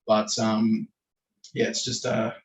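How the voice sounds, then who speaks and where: A man, his voice croaky as he keeps clearing his throat. He talks in loose, meandering answers full of fillers and restarts. He sits at some distance from the microphone, and the room around him rings. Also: lowish voice, completely flat delivery, takes it slowly, a little hiss or hum. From Australia. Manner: rambling, hesitant, many fillers and restarts. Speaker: podcast guest. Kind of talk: casual interview answers. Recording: echoey room, distant from the mic.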